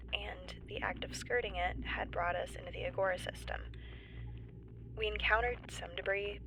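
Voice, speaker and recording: A woman playing a nervous, wry stranded geologist in a sci-fi audio drama, halting and breathy, often near a whisper. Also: fairly low pitch, low-quality recording. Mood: halting, resigned. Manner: halting, awkward, wryly understated. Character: stranded woman, nervous civilian geologist keeping an emergency log. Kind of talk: found-footage sci-fi audio drama monologue.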